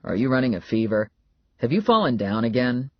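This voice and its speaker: A dry, skeptical man, acted by a woman narrator, low and husky. The delivery is low-key and indulgent, in a comic audiobook. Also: very animated, brisk, no echo, highs cut off, heavily acted.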